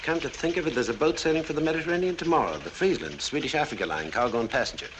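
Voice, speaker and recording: Very rapid, helpful gentleman. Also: on the high side, expressive. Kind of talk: 1940s film acting. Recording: noisy 1940s film soundtrack.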